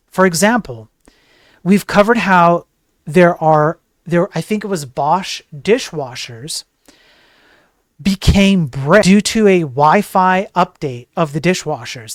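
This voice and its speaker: A man's voice: high voice, no room sound, clean studio sound. A man giving opinionated tech news commentary on a livestream, emphatic and digressive, tossing in rhetorical questions. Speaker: tech news streamer and programmer.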